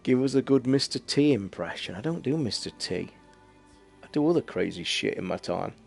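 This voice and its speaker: A man hosting a casual late-night livestream from his flat, talking in a rambling, blunt way and swearing freely. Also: medium pitch, moderate pace, creaky, faint music behind. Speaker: livestream host. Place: home flat.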